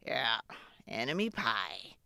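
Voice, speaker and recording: A woman voicing a calm, knowing, secretive father in a storybook, measured and confiding. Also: extremely low pitch, gravelly, no room sound, pitched below the performer's own voice, full character voice. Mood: sly, satisfied. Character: calm, knowing, secretive dad of a young boy.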